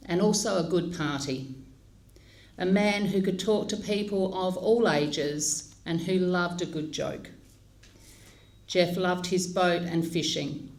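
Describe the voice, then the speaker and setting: A woman leading a funeral service, speaking in a slow, measured and solemn way. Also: fairly low pitch. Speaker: funeral celebrant.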